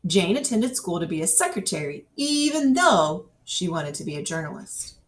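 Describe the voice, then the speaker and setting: Woman, nonfiction read-aloud, animated pitch movement, careful phrasing, medium-pitched voice, slightly distant mic. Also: normal speed, a little hiss or hum. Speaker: reader. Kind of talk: scripted read-aloud of an educational nonfiction text. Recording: distant microphone.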